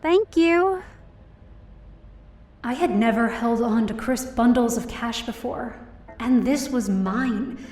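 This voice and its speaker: A woman's voice: fairly high pitch, takes it slowly, pauses often, darkish tone. A woman playing a hopeful, down-on-her-luck heroine who tells her own story earnestly and expressively in an audio drama. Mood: grateful, bewildered. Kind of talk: first-person audio drama narration and dialogue. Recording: roomy echo, distant mic, music behind.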